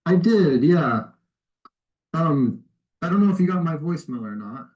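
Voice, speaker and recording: A man's voice: fairly high pitch, big pitch swings, moderate pace, lo-fi sound. Polite, tentative man making calls. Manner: polite, tentative, soft-sell. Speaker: cold caller offering help claiming surplus funds. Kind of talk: informal phone conversation. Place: recorded phone call played back in a lesson.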